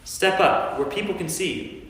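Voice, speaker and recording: Guy playing jesus teaching, firm and deliberate, slightly high male voice, way off in a boomy church. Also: a bit bright. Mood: commanding. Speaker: lay reader in a staged church reading. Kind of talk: staged scripture reading. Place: large church interior. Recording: very echoey church, distant mic.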